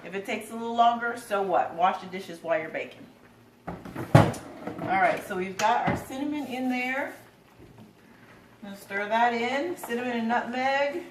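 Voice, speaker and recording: Relaxed woman demonstrating a recipe in her kitchen, loose and chatty, recorded from a distance. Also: a little high, normal speed, pauses often, echoey room, noisy background. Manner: chatty and relaxed, with filler words. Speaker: home cooking demonstrator. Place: home kitchen.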